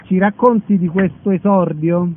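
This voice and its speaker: A man hosts a radio interview in a fairly high voice. The sound is narrow and phone-like, with faint noise behind him. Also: steady tone, moderate pace. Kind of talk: broadcast interview.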